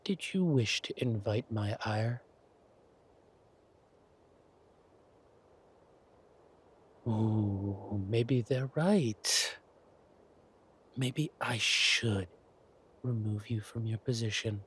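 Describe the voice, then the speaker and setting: A man acting a menacing, silkily mocking villain king in an audio roleplay, taunting with deliberate words and drawn-out pauses. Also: on the low side, lively intonation, fairly bright tone, slight background noise. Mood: cold mocking menace.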